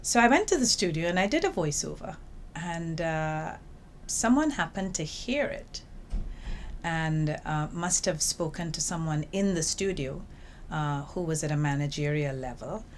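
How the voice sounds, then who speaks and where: An older woman from Trinidad and Tobago with a Trinidadian accent, telling her story in a lively way in an interview, seated in a small office. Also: low voice, a little slow, slight background noise. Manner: lively storytelling, acts out quoted remarks. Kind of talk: reflective interview answers.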